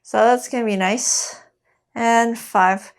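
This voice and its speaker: Woman coach, Quebec French accent, unhurried, slightly high voice, halting chatty cues. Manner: relaxed, halting, many fillers. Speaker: fitness coach. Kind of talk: casual workout instruction.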